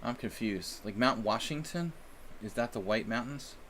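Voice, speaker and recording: A man from the United States talks casually while he streams chess. He rambles and pokes fun at himself. His voice sits at a middle pitch, with a faint noise floor under it. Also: moderate pace, a bit bright. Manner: chatty, rambling, self-deprecating. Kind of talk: casual live-stream chat.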